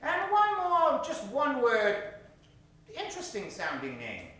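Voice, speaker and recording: Animated showman host in a very echoey theater. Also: very high-pitched, unhurried. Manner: theatrical showman, projecting to a crowd. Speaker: stage host of an improv comedy show. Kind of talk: improv audience warm-up, gathering suggestions. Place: live theater stage. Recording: very echoey theater, distant mic.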